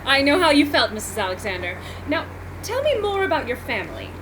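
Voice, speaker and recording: A woman's voice: sits high, big pitch swings, a little fast, a bit bright. A woman playing a gracious 1940s radio interviewer in a formal announcer manner. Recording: noisy live event recording.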